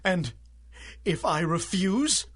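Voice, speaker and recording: High, husky, terrified informant. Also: male voice, expressive, a bit bright, full character voice. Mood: terrified, pleading. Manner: whimpering, halting, desperate. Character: terrified, pleading male criminal informant, cowardly. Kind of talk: 1930s-style radio drama acting.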